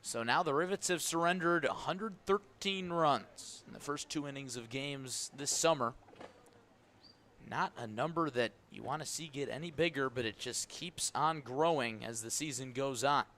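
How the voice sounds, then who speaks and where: A man's voice: medium pitch, normal speed, sharp tone. An American man calling baseball play-by-play live on the radio. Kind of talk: live radio sports commentary. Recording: live broadcast with background noise. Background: ballpark ambience.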